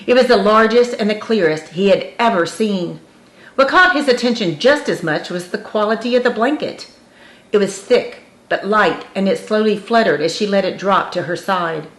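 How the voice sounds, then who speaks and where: Woman, audiobook narrator, scripted storytelling, mid-pitched voice, roomy echoing recording. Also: normal speed.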